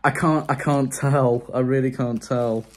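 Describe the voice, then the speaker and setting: A young adult man chatting casually and haltingly to his phone in an unscripted vlog, often sounding unsure. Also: mid-range voice, steady tone, noisy background. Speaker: vlogger. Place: small room indoors. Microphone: phone at arm's length.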